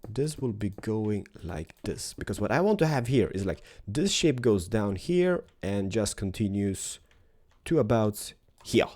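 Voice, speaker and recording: A man's voice: fairly low pitch, big pitch swings, measured pace, a little mellow. Playful man narrating a build. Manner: playful, thinking aloud, self-mocking. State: has a cold. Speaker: Minecraft building host. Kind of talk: casual build commentary.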